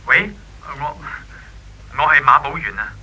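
A man's voice: fairly high pitch, expressive, a little slow, background noise. A man playing a courteous, wistful young friend in a Cantonese radio drama, heard through a telephone filter, with a Hong Kong accent. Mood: polite. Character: earnest, wistful young male family friend, courteous and reserved.

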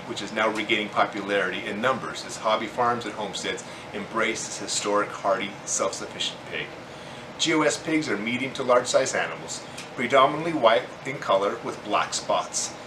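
A man in his forties hosting a farming video, reading scripted informational narration in measured phrases. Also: lowish voice, echoey room, background noise. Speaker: host of a hobby farming channel.

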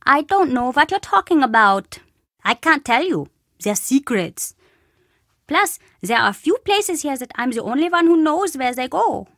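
Mischievous little boy for a video game: chatty, cheeky, animated delivery, dry close sound. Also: high-pitched, moderate pace, no echo, exaggerated voice. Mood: secretive, boastful. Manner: cheeky, dramatic, childlike bluster. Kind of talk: video game character dialogue.

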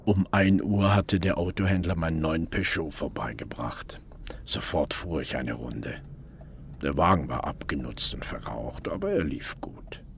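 A man's voice: a bass voice, animated, measured pace, creaky, a little hiss or hum, heavily acted. A man playing a brooding detective who narrates his investigation in a scripted German crime radio drama. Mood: brooding, measured. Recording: prominent music bed behind narration.

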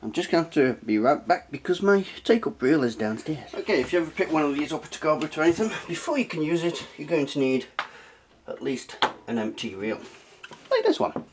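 A man's voice: on the high side, big pitch swings, fairly quick, a little hiss or hum. A British man talking informally and chattily through a casual hands-on review and tutorial as the host. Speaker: host of a retro tech review channel. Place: small cluttered indoor room at a desk.

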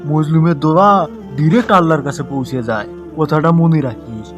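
A man plays a moralizing cartoon schoolteacher with a high voice and a Bogura accent from northern Bangladesh. He scolds with sarcasm and pointed rhetorical questions, over faint music. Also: fairly quick, highs cut off, natural voice. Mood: stern, preachy. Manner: mock agreement, rhetorical questions, preachy. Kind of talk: comic cartoon dialogue turning into sermon.

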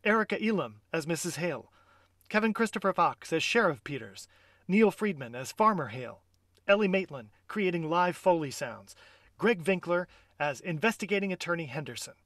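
A man's voice: a little high, lively intonation, moderate pace, a bit bright, dry recording, clean studio sound. Playful man hosting a live audio play. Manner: playful, chatty, mock-whispering asides. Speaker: host and actor of an audio play. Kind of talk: scripted pre-show narration. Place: closet or desk at home.

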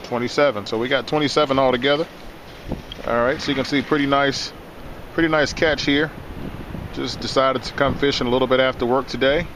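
A middle-aged man narrating a casual fishing how-to in an easygoing, folksy way. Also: fairly quick. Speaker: host of a fishing channel. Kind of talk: casual instructional vlog narration. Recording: loud steady background hiss and rumble.

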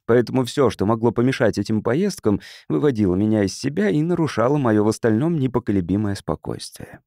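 A man reading a literary story as an audiobook narrator in a measured, expressive storytelling manner. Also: medium pitch, creaky, no echo, studio quality.

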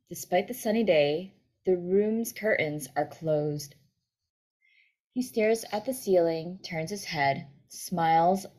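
Low-voiced woman reading stage directions. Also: dark tone. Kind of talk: scripted narration, screenplay table read. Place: indoor room on a video call. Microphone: video call microphone.

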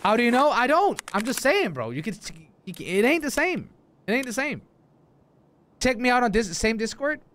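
A male game streamer with a high voice that swings around a lot. He talks loosely and slangily, repeating himself as he chats with his viewers. There is noticeable noise with clicks behind him. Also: moderate pace. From Denmark. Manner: animated, repetitive, slangy and profane. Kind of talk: casual chat with stream viewers. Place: indoor room, streaming setup. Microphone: close desk microphone, headset worn.

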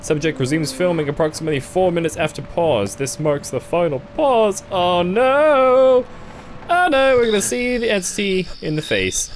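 A horror game streamer with a mid-range, swooping voice gives a playthrough commentary. The delivery is sarcastic and easily startled, over clearly audible game ambience of crickets and insects. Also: medium pitch, expressive, normal speed, a little hiss or hum. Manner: jumpy, sarcastic, gasps and cries out when startled. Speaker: gaming YouTuber. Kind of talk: horror game playthrough commentary, reading on-screen text aloud.

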